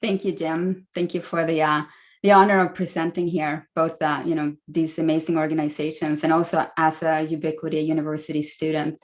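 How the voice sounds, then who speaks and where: A woman's voice: low voice, flat delivery, brisk. A woman in her forties with a Swedish accent, chatting through a slide talk as a guest speaker on a video call. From Sweden. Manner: friendly, chatty, many fillers.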